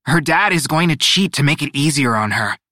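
A resentful young nonbinary competitor voiced by a male narrator argues in a fantasy audiobook. The tone is indignant and complaining, with the pitch raised and the sound dry. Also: animated, a little fast, sharp tone, no room sound.

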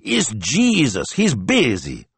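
A man voicing an excitable, pious elderly Italian church lady in an audiobook story. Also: medium pitch, slow, husky, no echo, pitched above the performer's own voice, heavily acted. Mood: bossy, scolding.

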